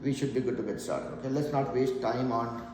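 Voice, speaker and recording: A man's voice: mid-range voice, brisk. A man in his forties teaching a live math class, patiently repeating points and asking students questions. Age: 40s. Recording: echoey room, faint background noise.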